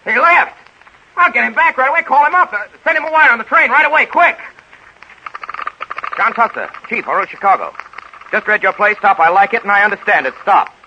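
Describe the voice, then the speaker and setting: An actor playing a bossy, harried Hollywood studio boss in a 1930s radio comedy, barking out orders in a domineering dictating manner. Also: male voice, extremely high pitch, expressive, brisk, sharp tone, a little hiss or hum, full character voice. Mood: agitated. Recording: muffled 1930s radio recording.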